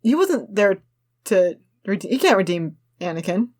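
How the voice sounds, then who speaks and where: A woman's voice: mid-range voice, sing-song, unhurried, a little mellow. A woman co-hosting a casual discussion, reading a script aloud and commenting on it in an opinionated, argumentative way. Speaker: podcast co-host.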